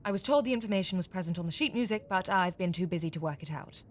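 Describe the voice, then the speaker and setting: An actress in an audio drama playing a shrewd, defiant captive con artist, sardonic and evasive. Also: female voice, on the low side, animated, fast talker. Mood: guarded, grim.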